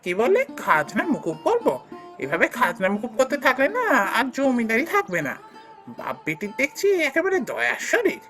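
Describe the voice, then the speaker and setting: A woman's voice: on the high side, wildly swooping pitch, moderate pace, fairly bright tone, faint music behind. A woman voicing a greedy, harsh landlord husband in a cartoon fairy tale, snapping and sarcastic. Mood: irritated, scornful. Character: greedy, harsh, scornful son-in-law, rent-collecting landlord.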